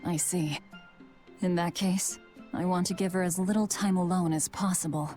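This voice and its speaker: A woman's voice: lowish voice, steady tone, moderate pace, mellow, faint music behind, close to an everyday voice. An actress playing a tough, guarded young woman in a video game, blunt and commanding with a dry manner. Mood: probing.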